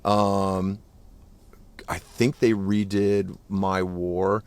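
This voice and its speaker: A man around fifty talks in a low, easy voice on a podcast. His chat is casual and profane, and he gets animated when a story takes hold of him. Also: extremely slow, clear, resonant tone. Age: 50s. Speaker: guest musician.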